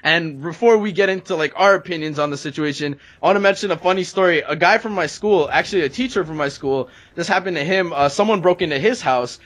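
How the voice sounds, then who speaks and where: A man's voice: fairly high pitch, fairly bright tone. A young man from Canada hosting a gaming series with casual storytelling commentary. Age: young adult.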